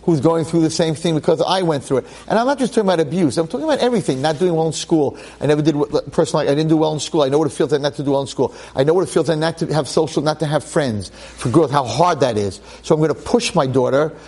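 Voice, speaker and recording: A man's voice: on the high side, fast talker, few pauses, darkish tone. A man giving a Torah lecture to a class, emphatic and dramatic, repeating phrases and asking rhetorical questions. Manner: public speaker.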